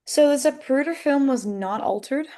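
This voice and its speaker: A woman's voice: sits high, normal speed, warm tone, natural voice. A woman playing a curious, open-minded audience member in a screenplay table read.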